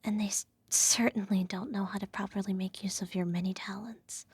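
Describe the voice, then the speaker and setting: A woman playing a regal, self-righteous evil empress who soothes her child with a whispered ASMR bedtime story in a measured, gently condescending way. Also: medium pitch, steady tone, dry recording. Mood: doting, coaxing. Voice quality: soft, breathy whisper. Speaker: ASMR roleplay performer.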